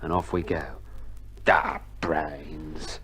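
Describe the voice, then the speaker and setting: An actor playing a cheeky, complaining Australian army private in a radio drama, talking in slangy colloquial style. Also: male voice, very deep voice, little pitch movement, drawn-out words, fairly bright tone, soft background music, full character voice. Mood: sardonic. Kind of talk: 1960s radio drama acting. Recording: noisy old vinyl radio recording.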